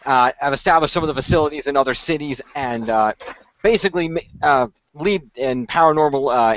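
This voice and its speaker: A man improvising in-character dialogue in a role-play, explaining things in a halting way. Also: mid-range voice, fairly bright tone, no echo. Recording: dry, dull lo-fi audio.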